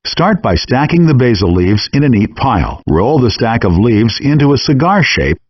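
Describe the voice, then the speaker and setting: A man's voice: mid-range voice, very animated, moderate pace, dry recording. A man reading scripted step-by-step instructions as the narrator of a game. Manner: clear step-by-step explaining. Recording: dull, compressed handheld game audio.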